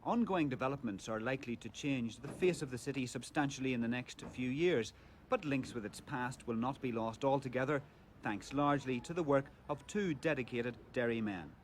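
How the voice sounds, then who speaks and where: A man's voice: medium pitch, normal speed. A man reading scripted narration for a television news report, as a reporter. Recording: archive TV report with clear background noise.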